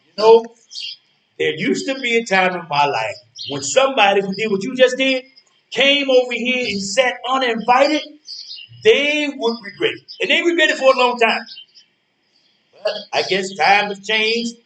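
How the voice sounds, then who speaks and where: A man acting out a bitter older fellow on a park bench in a stage play. His voice sits high for a man, and he lays out menace slowly and folksily. It sounds roomy and set back from the microphone. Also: expressive, sharp tone, full character voice. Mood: brooding resentful. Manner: slow deliberate threats, folksy colloquial phrasing. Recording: roomy, echoey, distant from microphone.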